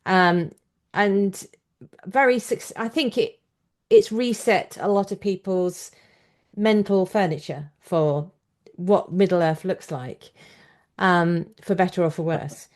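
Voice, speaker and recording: Female podcast host, slightly low register, thoughtful and conversational, loose phrasing. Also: slow. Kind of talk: conversational interview.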